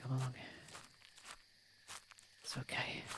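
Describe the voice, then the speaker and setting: A man playing a posh, gentle, protective vampire in an ASMR roleplay, soft-spoken and often dropping into a whisper, with formal wording. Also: slow pace, background noise, lightly acted. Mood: gentle, reassuring. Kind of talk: ASMR roleplay audio drama.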